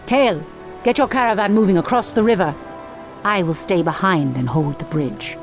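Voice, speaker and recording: A woman playing a devout, brave night elf priestess in a video game, calm, dignified and formal in delivery. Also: lowish voice, moderate pace, music in the background, lightly acted. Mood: resolute. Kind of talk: dramatic video game voice acting.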